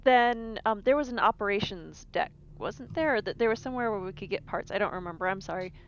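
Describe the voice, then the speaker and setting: Woman, tabletop gamer, casual role-play chat, medium pitch, muffled lo-fi sound. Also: animated, fast. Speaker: tabletop game player. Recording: dull lo-fi mic, background noise.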